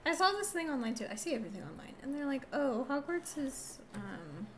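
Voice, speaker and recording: A woman's voice: high voice, big pitch swings, fast, fairly bright tone, a little hiss or hum. A woman giving casual, chatty live commentary as she plays a video game, self-deprecating and mildly exasperated. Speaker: game streamer. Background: video game music.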